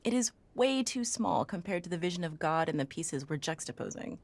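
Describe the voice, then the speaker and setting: A woman's voice: fairly low pitch, lively intonation, brisk. A woman playing a thoughtful, exasperated researcher, articulate and opinionated, in a conversational audio drama. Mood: critical.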